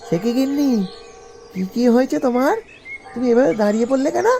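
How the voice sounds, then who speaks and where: A man's voice: very high voice, fast, gravelly, dark tone, slight background noise. A man voicing a fretful elderly husband and father who leans on others, in a cartoon. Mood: fussy, demanding. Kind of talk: cartoon dialogue.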